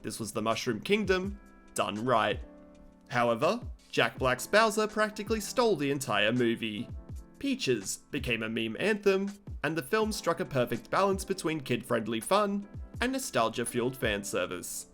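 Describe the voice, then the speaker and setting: A man's voice: mid-range voice, sing-song, moderate pace, fairly bright tone, soft background music. A man hosting a scripted countdown review, narrating as a video presenter.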